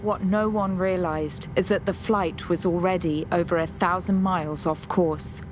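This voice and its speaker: A woman's voice: fairly even intonation, moderate pace. A woman reading dramatic scripted narration as the narrator of an audio story. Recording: loud music bed, engine rumble.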